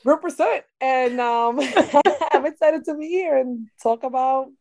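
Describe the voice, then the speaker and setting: A woman from the Dominican Republic with a Dominican accent talks as a podcast guest. She tells her story in an animated way, laughing often and leaning on fillers. Her voice sounds close and dry, with little room sound. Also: high voice, unhurried, dry recording. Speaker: guest, boudoir photographer. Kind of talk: conversational interview.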